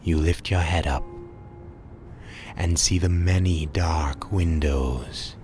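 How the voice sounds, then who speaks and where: A man narrating a scripted Halloween horror radio drama in a dramatic, ominous manner with measured phrasing. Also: a bass voice. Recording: loud scary music behind. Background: loud scary music.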